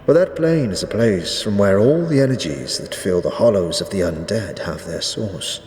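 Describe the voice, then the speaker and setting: A man playing an ancient, zealous skeleton high priest in a fantasy audio drama, speaking gravely in archaic, ceremonious phrases. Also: expressive, darkish tone, natural voice. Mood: measured, somber. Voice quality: deep, drawn-out delivery. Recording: echoey reverberant hall, faint noise.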